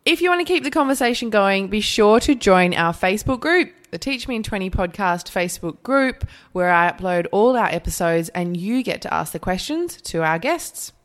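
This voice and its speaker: A woman's voice: mid-range voice, animated, moderate pace, hardly any pauses. A woman hosting a podcast, asking questions and giving an upbeat outro. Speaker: podcast host.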